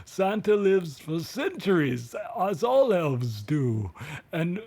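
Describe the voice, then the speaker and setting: Slow-talking, high-voiced German Santa Claus. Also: male voice, very animated, gravelly, dark tone, exaggerated voice. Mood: amused, explanatory. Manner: halting, explanatory, stumbling over words. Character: jolly, chatty Santa Claus, ancient elf, faintly fussy. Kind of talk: improvised comic character interview.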